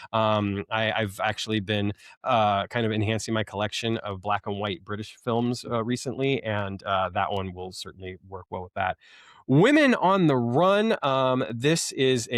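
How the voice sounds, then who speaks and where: A man's voice: lowish voice, no room sound, clean studio sound. A man hosting a casual unboxing video, talking enthusiastically in a rambling, hesitant way full of ums. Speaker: host of a film collecting video channel.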